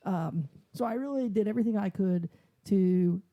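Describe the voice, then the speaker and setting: High squeaky-voiced joking man. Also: moderate pace, warm tone, lo-fi sound. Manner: self-deprecating, joking. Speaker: podcast co-host. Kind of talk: casual chat.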